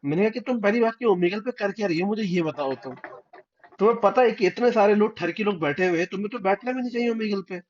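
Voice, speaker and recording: A man's voice: sits high, fast talker, darkish tone, a little hiss or hum. A man in a casual video chat who readily agrees, laughs often and tells his own stories with energy. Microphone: video call mic. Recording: dull lo-fi video call audio.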